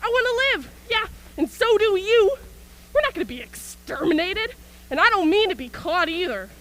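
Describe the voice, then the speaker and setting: A woman's voice: very high voice, sing-song, moderate pace, a bit bright, exaggerated voice, low-quality recording. A woman acting an embittered, manic militia soldier in a stage radio play, ranting in colloquial speech about surviving an invasion. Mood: defiant, manic. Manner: colloquial, ranting, punctuated by scornful laughs. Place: school auxiliary gym.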